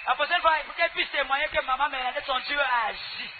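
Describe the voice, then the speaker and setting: A very high-voiced woman interprets live in church. She talks fast and emphatically in animated bursts. The sound is thin and phone-like. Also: slight background noise. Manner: emphatic, echoing the preacher. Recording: tinny phone-like band, loud music.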